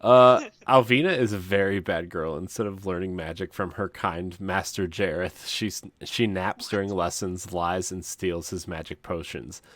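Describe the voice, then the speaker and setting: A man's voice: sits low, steady tone, moderate pace, hardly any pauses, a bit bright, no room sound. A man co-hosting a podcast, doing comic readings for friends and often breaking into laughter as he reads. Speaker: podcast co-host. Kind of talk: comic dramatic reading of book descriptions among friends.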